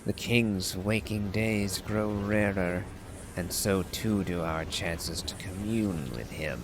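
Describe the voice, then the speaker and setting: Man reading as a slow, solemn ancient dragon lord. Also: fairly low pitch, background noise. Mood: troubled, solemn. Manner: formal, solemn, declamatory. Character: proud, stern ancient dragon lord disdainful of humans. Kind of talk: dramatic reading of game lore.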